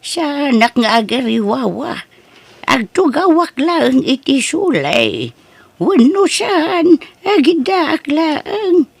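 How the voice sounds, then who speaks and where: A woman's voice: high voice, big pitch swings, slow, vocal fry, no echo, exaggerated voice. A woman playing a frail elderly mother who pleads for a place to sleep, in a radio drama. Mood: pleading.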